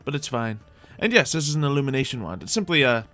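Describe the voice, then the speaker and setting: A man with a lively, swooping delivery and an average-pitched voice hosts a roleplay gaming video. He rambles in a chatty, playful way, often talking straight to the creatures in the game. Faint music plays behind him. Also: quick pace.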